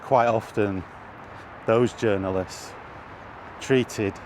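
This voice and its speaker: A man's voice: on the low side, fairly even intonation, extremely slow. A middle-aged British man rambling casually as he thinks aloud, often hesitating over his words. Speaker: vlogger. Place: outdoors while walking in an urban area. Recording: noisy outdoor recording with traffic. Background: clear street and vehicle noise.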